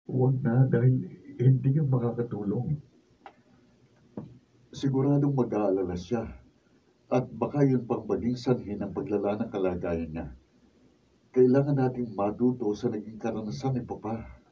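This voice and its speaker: A man's voice: medium pitch, moderate pace, pauses often, lightly acted. A man playing a protective, stubborn eldest son in a radio drama, speaking firmly and insistently. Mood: firm, persuading. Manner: firm, insistent. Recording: dull, noisy, distant room recording.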